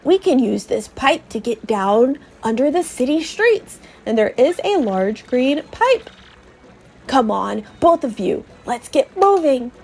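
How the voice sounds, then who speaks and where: A woman's voice: sits high, big pitch swings, takes it slowly, gravelly, darkish tone, husky, exaggerated voice. A woman voicing a fussy elderly male professor in a video game, with hesitant, old-fashioned, teasing phrasing. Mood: eager, teasing.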